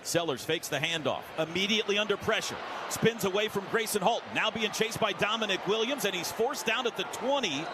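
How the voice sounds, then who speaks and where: An American man calling football play-by-play on the radio in clipped, vivid, excitable phrases. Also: high-pitched, brisk. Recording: noisy stadium radio broadcast.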